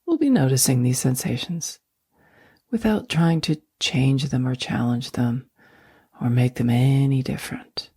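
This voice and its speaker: A woman guiding a sleep meditation in a soft, breathy near-whisper, speaking slowly and gently with long, soothing pauses. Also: very deep voice, dry recording. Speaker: meditation guide.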